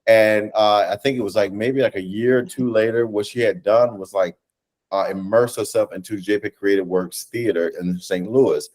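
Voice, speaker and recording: Low-voiced American man telling a story. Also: fairly even intonation, normal speed, darkish tone, rough recording. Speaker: theatre director and writer, interview guest. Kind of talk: conversational storytelling in an interview. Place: indoors, on a video call.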